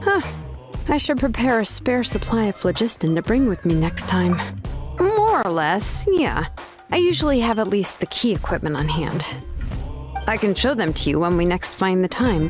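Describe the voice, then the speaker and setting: An easygoing, expert female blacksmith in a video game cutscene. Her mid-range voice moves with lively intonation, and she talks in an offhand way, dropping in little "uh"s and "mm"s. Game music plays clearly behind her. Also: medium pitch, measured pace. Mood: casual. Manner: relaxed and offhand, with frequent uh and mm. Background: game background music.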